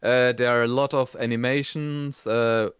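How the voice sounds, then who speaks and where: Clear-voiced man in casual review chat. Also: mid-range voice, fairly even intonation, moderate pace, no echo, clean studio sound. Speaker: co-host of a review show.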